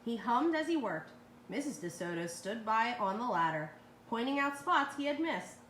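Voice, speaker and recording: A woman's voice: a little high, big pitch swings, moderate pace, a bit bright. A woman reading a children's story aloud expressively as a storyteller. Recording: roomy, echoey with faint noise.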